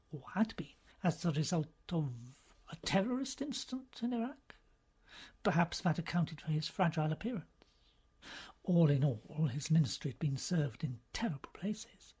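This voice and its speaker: Husky male audiobook narrator. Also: on the high side, darkish tone. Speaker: audiobook reader. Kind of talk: scripted literary narration.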